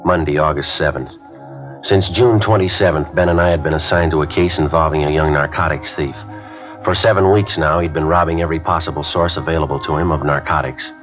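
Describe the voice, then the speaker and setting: A terse police detective in an old radio drama, reading off times and facts in a clipped, deadpan way. His voice sits very low. The broadcast sounds dull and lo-fi, with music under it. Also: fairly quick. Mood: flat narration. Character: terse, matter-of-fact male police detective, adult. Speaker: detective and narrator. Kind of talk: 1950s radio drama acting.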